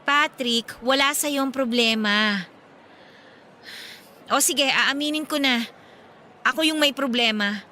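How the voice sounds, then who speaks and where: A woman's voice: high-pitched, takes it slowly, pauses often, pushed and bright, a little hiss or hum, heavily acted. An actress playing a weary, guilt-ridden young girlfriend, exasperated and apologetic, in a radio drama. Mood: guilty, apologetic.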